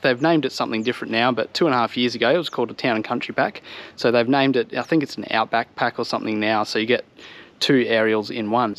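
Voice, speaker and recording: A man in his thirties with an Australian accent, giving a casual, explanatory product review to his viewers outdoors. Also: medium pitch. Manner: informal explanatory walk-through, addressing viewers. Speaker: vlogger reviewing vehicle gear. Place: outdoors on a home driveway by a vehicle.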